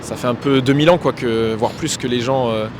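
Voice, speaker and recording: A man's voice: mid-range voice, fairly quick. A young man answering a street interviewer in a laid-back, slangy, offhand way. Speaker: passer-by interviewee. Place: busy city square outdoors. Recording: street traffic noise.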